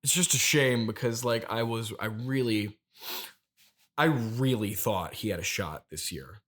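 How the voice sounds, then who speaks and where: Man playing a halting, wounded, low-voiced turnip farmer. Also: fairly bright tone. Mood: wounded, defensive. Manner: halting, rambling, earnestly wounded. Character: grieving, mystical turnip farmer and gullible superfan. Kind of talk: improvised comedy interview.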